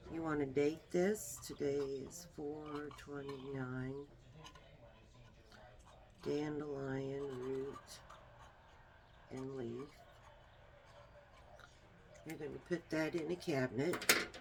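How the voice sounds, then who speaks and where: Soft little voice, woman chopping herbs and talking real slow, noisy home recording. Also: low voice. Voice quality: small soft voice. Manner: soft-spoken, homey and unhurried, talks while working. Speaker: herbal remedy vlogger. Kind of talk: casual how-to demonstration.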